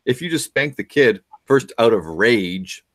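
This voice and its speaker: A man's voice: mid-range voice, lively intonation, measured pace. A middle-aged man giving parenting advice over a video call from a home office, lecturing and asking many questions. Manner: lecturing, asks many questions, frequent fillers. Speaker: parenting expert guest.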